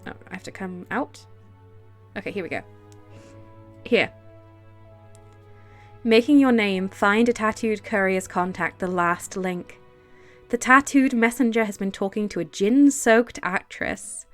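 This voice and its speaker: A woman streaming a game, chatting casually and reading its text aloud, excitable and quick to laugh, with a stuffy nose and sore throat from a cold. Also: soft background music. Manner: excitable, laughs easily, bursts into high delight.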